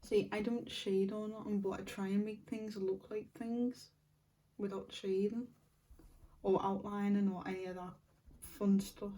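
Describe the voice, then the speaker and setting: Croaky woman host, soft flat delivery, mellow tone, recorded a bit off-mic with faint noise. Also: mid-range voice, unhurried, far from the mic, low-quality recording. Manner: chatty, soft-spoken, thankful to viewers. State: losing her voice, a little croaky. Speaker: colouring channel host. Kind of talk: casual chat while colouring.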